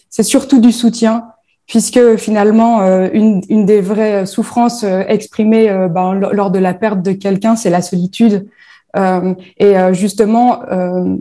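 A woman's voice: mid-range voice, warm tone. A woman from northern France, founder of a bereavement platform, answering interview questions with frequent hesitations and fillers.

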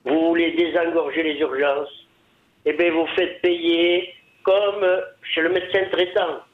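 A man's voice: a little high, level tone, measured pace, echoey room. A man from southern France with a southern French accent, calling into a radio show and speaking bluntly and jovially. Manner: blunt, jovial, repeats points. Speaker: radio caller. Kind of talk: call-in phone comment. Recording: tinny phone line.

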